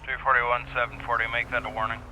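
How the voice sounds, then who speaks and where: A man's voice: medium pitch, flat delivery, quick pace, pushed and bright, poor audio. A man playing a terse, official police dispatcher in a roleplay game, speaking over radio traffic.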